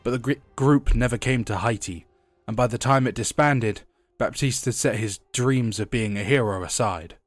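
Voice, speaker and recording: A man's voice: mid-range voice, moderate pace, no room sound, soft background music. A young man with a head cold, sounding a bit congested, reading game lore for a video essay and dropping chatty asides along the way. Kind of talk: scripted video essay narration.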